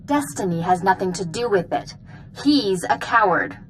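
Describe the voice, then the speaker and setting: A woman's voice: medium pitch, animated, moderate pace, slight background noise, lightly acted, low-quality recording. An actress playing an indignant, wounded woman scorned in a video game, dramatic and accusing. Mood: indignant, bitter.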